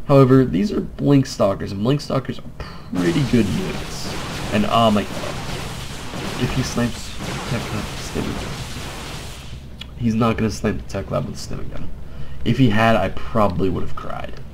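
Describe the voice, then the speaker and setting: Young guy with a blocked nose doing a mellow, quiet game cast, kinda gravelly. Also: lowish voice, moderate pace, background noise. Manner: mellow, quiet, joking asides. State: stuffed-up nose, lightheaded. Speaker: game caster. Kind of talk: laid-back game commentary.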